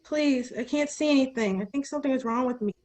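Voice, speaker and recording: A woman's voice: high-pitched, quick pace, a little mellow, close to an everyday voice. A woman playing an injured woman possessed by an evil killer, in a dramatic screenplay read. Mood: frightened, pleading.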